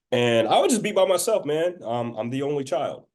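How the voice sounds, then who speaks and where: A man's voice: a little high, big pitch swings, moderate pace, darkish tone. A man from the rural southern United States, talking informally as an interview guest and telling stories in a casual, conversational way. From southern United States, rural Georgia and Florida Panhandle.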